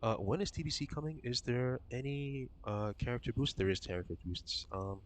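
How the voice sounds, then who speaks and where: A man streaming a game and chatting casually with his viewers, his voice slightly raspy from being sick, rambling and swearing loosely. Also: mid-range voice, a little fast, slight background noise.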